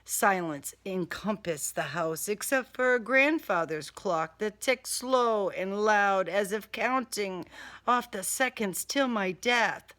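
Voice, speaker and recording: Slow-paced woman narrating a crime thriller. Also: medium pitch, animated, fairly bright tone. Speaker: author reading own novel excerpt. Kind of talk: first-person audiobook narration of a crime thriller.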